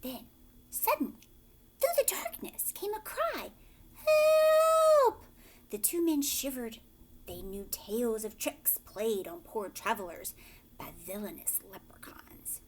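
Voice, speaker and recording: A woman's voice: on the high side, very animated, measured pace, a little hiss or hum. A woman teacher reading a storybook aloud expressively and explaining it to young listeners as she goes.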